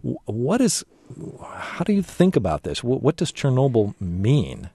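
A man hosting a radio interview, asking questions in a measured, conversational way. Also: mid-range voice, huge pitch swings, warm tone, breathy edge, dry recording, studio quality. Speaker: radio host.

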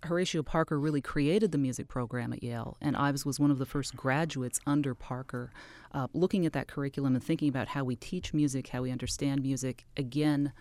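A woman's voice: rumbling low, fairly even intonation, brisk, darkish tone, no room sound. A woman from Canada, an author and music scholar, answering questions as a radio interview guest in a thoughtful, explanatory way.

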